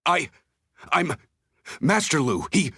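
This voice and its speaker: A man playing a shifty, self-righteous merchant in an anime game, husky and easily rattled. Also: fairly high pitch, big pitch swings, takes it slowly, pushed and bright, dry recording, clean studio sound, exaggerated voice. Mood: panicked.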